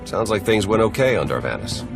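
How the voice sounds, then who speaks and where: A man's voice: lowish voice, very animated, brisk, gravelly. A man playing a casual, wry spy and strategist in a video game. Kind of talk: scripted video game acting. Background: background music.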